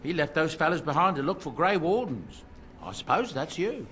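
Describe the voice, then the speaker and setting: Harried tavern keeper, lively pitch. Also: male voice, moderate pace, a little hiss or hum. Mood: reassuring. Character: gruff, harried but loyal village tavern keeper. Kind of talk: video game dialogue.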